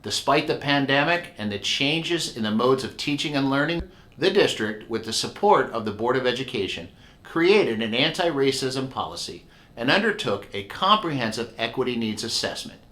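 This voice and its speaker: A man narrating from a script with a bright, forceful tone at a middling pitch. His delivery is smooth and read aloud. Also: animated, normal speed.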